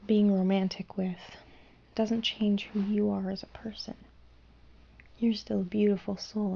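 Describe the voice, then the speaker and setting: A young woman voicing a gentle female fairy in human form, whispering softly and reassuringly. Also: mid-range voice, highs cut off, heavily acted. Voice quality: breathy whisper. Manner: soft soothing whisper, reassuring and hushing. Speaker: ASMR roleplayer. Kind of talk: whispered ASMR roleplay.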